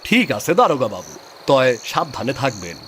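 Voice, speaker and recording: A man's voice: mid-range voice, sing-song, moderate pace, a bit bright. An anxious cartoon village clerk, played by a man, respectful and cautionary. He speaks with a rural eastern Bengali accent. Faint insect noise sits behind the voice. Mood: deferential, worried. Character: elderly-mannered, anxious, deferential village clerk, male. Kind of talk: cartoon dubbing.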